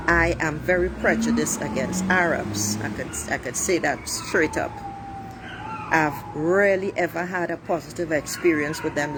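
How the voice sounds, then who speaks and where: A middle-aged woman from Trinidad with a Trinidadian accent, giving a blunt, preachy monologue to her viewers and swearing freely. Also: low-pitched. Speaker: life coach livestreaming. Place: outdoors near traffic. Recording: street traffic noise behind. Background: passing cars, a siren.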